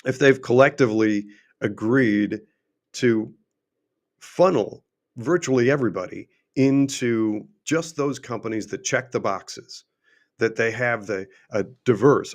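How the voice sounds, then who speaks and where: A middle-aged man hosts a livestream and talks emphatically, asking question after question, and his voice is going hoarse. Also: on the low side. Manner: emphatic, repetitive, rhetorical questions. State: losing his voice. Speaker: host and finance adviser. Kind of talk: unscripted livestream monologue.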